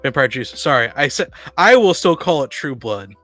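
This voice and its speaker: A man's voice: mid-range voice, big pitch swings, normal speed, a bit bright. A man co-hosting a casual group podcast chat, hesitant and often repeating and rephrasing himself. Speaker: podcast co-host.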